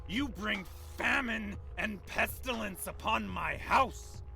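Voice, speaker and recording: A man's voice: high voice, slow, vocal fry, pushed and bright, soft background music, full character voice. A man voicing a pompous, venomous vampire zealot in a video game, cursing in theatrical, archaic sermon language. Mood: venomous, ranting. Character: deranged, pompous vampire cult preacher, venomous and fanatical.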